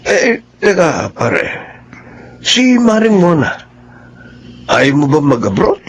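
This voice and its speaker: An actor playing an easygoing, encouraging friend who gives casual advice in a radio-style drama. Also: male voice, a little high, big pitch swings, slow, husky, full character voice. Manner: casual, reassuring. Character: easygoing, encouraging male buddy giving advice.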